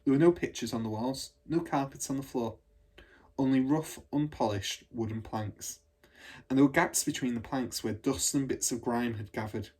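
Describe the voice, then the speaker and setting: A man's voice: mid-range voice, normal speed, a little mellow, slightly rough. A man narrating a children's story from a book. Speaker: storybook reader.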